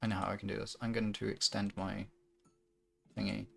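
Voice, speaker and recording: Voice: deep voice, flat delivery, fairly quick, vocal fry, a bit bright, soft background music. A young adult livestream host chatting casually and candidly, with frequent swearing.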